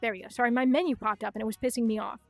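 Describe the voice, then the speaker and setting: A young woman with a Chicago-style Midwestern accent, raised in Minnesota and South Dakota, chatting quickly and swearing freely as a livestream host late at night from her bedroom. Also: a little high, fairly even intonation, dry recording, faint music behind. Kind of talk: casual late-night stream chat. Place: hot bedroom at home.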